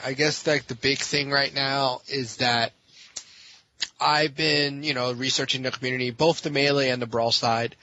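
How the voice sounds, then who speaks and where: A man with a forceful, rough-edged voice gives his opinions as a podcast guest. He is blunt and emphatic, pressing his listeners in casual speech. The recording is dry and somewhat dull. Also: mid-range voice. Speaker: podcast guest, gaming tournament organizer. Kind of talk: impassioned casual opinion talk.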